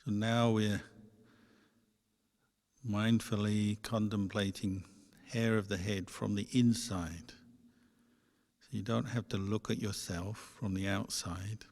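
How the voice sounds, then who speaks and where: Man, Buddhist monk, guided meditation, gentle measured instruction, flat intonation, long reverberant hall. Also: lowish voice.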